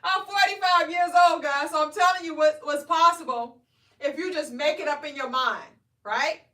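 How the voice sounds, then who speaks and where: A woman, coaching weight loss on a live stream in an energetic, preachy way, often asking "right?". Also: high-pitched, moderate pace, roomy sound, recorded from a distance. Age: 40s. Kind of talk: live stream motivational talk.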